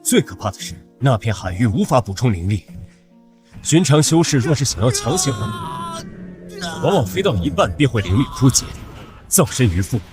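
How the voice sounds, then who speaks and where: A man voicing a dignified old cultivator elder with formal, archaic speech in an animated fantasy drama. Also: medium pitch, normal speed, long pauses, over music. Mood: grave, cautioning. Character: dignified, awed old cultivator father-in-law, centuries old. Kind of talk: animated xianxia drama dubbing.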